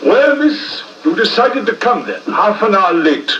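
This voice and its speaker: Smug British villain in vintage radio play, lively swooping delivery, muffled off-mic sound. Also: male voice, high-pitched, a little slow, exaggerated voice. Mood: smug, businesslike. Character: smug, oily male blackmailer, businesslike then panicked. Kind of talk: 1950s radio drama acting. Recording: muffled distant 1950s radio recording.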